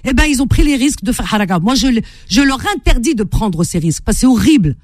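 A woman's voice: fairly high pitch, expressive, fast talker, no echo, clean studio sound. A woman hosting a call-in radio debate, arguing insistently and cutting in on her caller. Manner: argumentative, interrupting, insistent. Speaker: radio host.

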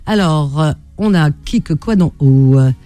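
Female radio host, lively pitch, dry close studio sound, brisk and friendly. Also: no echo, faint music behind. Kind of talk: call-in radio hosting.

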